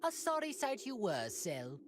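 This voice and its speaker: A man's voice: high voice, wildly swooping pitch, a little slow, noisy background, full character voice. A man voicing a cruel, smug alien tyrant who schemes with mocking courtesy, in theatrical anime game style. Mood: gloating mockery. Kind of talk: dubbed anime video game acting. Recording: loud music behind the voice.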